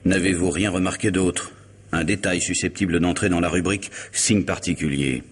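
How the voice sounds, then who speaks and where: Urbane radio-play lawyer, deep voice with rasp, formal diction, composed and inquisitive. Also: male voice, fairly even intonation. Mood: probing, composed. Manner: measured, formal, questioning. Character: urbane, probing male lawyer and family friend. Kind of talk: vintage French radio drama acting.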